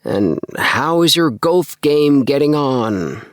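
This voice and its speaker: A man voicing an excitable, earnest young dreamer in an audiobook, with an emphatic, self-satisfied manner. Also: mid-range voice, slow, no echo, clean studio sound, voice pushed up. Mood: exasperated, bored. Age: young adult. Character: excitable young dreamer, eager, earnest, obsessed with house plans. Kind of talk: acted audiobook dialogue.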